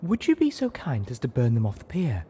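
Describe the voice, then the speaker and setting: A man's voice: medium pitch, huge pitch swings, fairly quick, dark tone, slight background noise, voice pushed up. A man voicing a prim, idealistic female pony medic in a storybook reading, scolding in proper, exasperated diction. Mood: polite.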